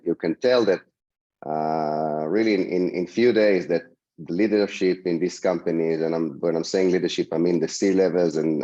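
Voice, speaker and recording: Croaky guy on a video call panel, deep dark voice, lots of uhs, dull sound. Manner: hesitant, many fillers and restarts. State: hoarse from a cold. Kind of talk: panel discussion answer.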